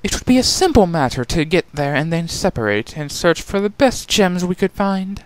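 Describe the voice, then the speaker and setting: Man doing a haughty, raised-pitch villain princess. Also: very animated, moderate pace. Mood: self-assured. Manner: boastful, self-assured. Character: haughty, confident, scheming changeling princess.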